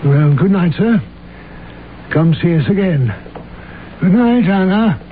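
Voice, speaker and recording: An actor playing a stern, formal older uncle, an attorney with an authoritative manner, in old-time radio drama style. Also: male voice, high-pitched, lively intonation, takes it slowly. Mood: gruffly appeased. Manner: clipped, authoritative, dryly formal. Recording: tinny, faintly noisy vintage radio recording.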